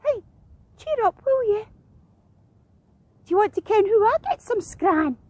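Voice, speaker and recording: Screechy, cheeky Scottish seagull. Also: female voice, extremely high pitch, very animated, a little slow, warm tone, no echo, voice pushed up, full character voice. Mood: teasing, chummy. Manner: blunt, cackling, chummy. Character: brash, cheeky, mocking young female seagull.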